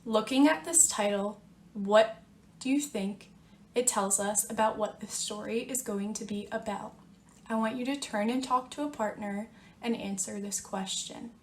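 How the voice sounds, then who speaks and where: A woman's voice: medium pitch, a little slow, echoey room. A woman teacher leading a picture-book read-aloud, speaking simply and instructively and prompting children with questions. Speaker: teacher reading aloud to students.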